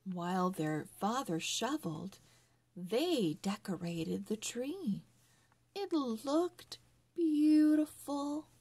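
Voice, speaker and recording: A woman storyteller reading a children's story aloud in a deliberate, word-by-word read-along style for early readers. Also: on the high side, animated, a little mellow, husky.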